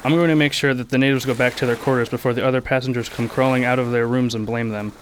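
A terse, principled man of action played by an actor in a radio play. He speaks very fast and bluntly over clearly audible background noise. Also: mid-range voice, fairly even intonation, lightly acted. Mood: stern, assured. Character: terse, principled, take-charge male ship passenger. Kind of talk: radio drama acting.

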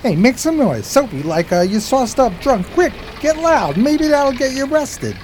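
An actor playing a nervy, streetwise sidekick in a 1920s radio drama, urging things along in street slang. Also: male voice, very high voice, big pitch swings, normal speed, a little mellow, slight background noise. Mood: urgent. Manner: slangy, urgent, hesitant starts. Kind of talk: radio drama acting, 1920s period piece.

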